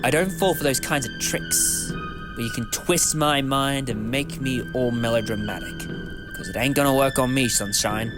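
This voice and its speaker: A cheeky, principled time-travelling hero acted by a man, with a very lively, swooping delivery. He talks in a chatty, eccentric way in an audio drama, with music underneath. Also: medium pitch, normal speed. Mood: defiant, firm.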